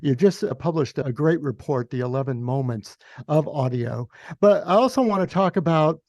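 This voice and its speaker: A man's voice: a little high, animated, moderate pace, a little mellow, no room sound, studio quality. A man hosting an interview podcast in a chatty, conversational way. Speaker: podcast host.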